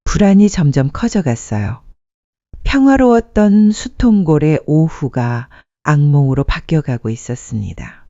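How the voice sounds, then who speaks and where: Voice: mid-range voice, animated, a little slow, mellow, no echo. A narrator reading a scripted dramatic story as a storyteller. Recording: muffled dry studio sound.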